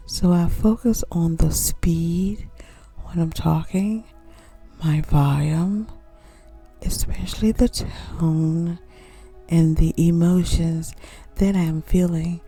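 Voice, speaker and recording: A woman who runs a soft-spoken ASMR channel, teaching in a gentle whisper and repeating key phrases slowly. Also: on the low side, steady tone, breathy edge, soft background music.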